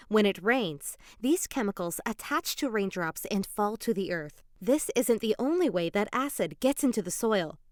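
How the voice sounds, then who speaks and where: A woman giving an expressive, explanatory dramatized reading of an educational children's story. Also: mid-range voice, dry recording, clean studio sound. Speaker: children's book reader.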